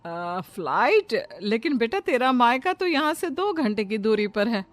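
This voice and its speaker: A woman voicing an older mother-in-law, practical and kindly with a gently scolding manner, in a cartoon story. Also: on the high side, very animated, measured pace. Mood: mildly exasperated. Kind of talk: Hindi cartoon moral story acting. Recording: music behind the voice.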